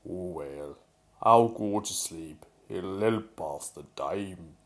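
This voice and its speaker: A man's voice: sits low, slow, pitched below the performer's own voice. A man voicing a proud, stern old steam engine in a storybook, with a Welsh accent and a pompous, scolding manner. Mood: weary. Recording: music behind the voice.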